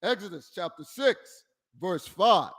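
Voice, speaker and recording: A man reading Bible verses aloud in a formal, measured and deliberate way, phrase by phrase, with long reverb. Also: sits high, lively intonation, a bit bright. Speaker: scripture reader assisting the preacher. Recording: long hall reverb, distant-sounding.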